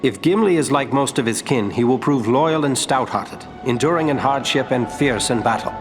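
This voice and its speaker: A man playing a noble, courteous ranger of royal blood in a fantasy game, speaking in sincere, measured, formal phrases. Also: mid-range voice, expressive, close to an everyday voice. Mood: thoughtful. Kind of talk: fantasy game dialogue acting. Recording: long hall reverb, faint music.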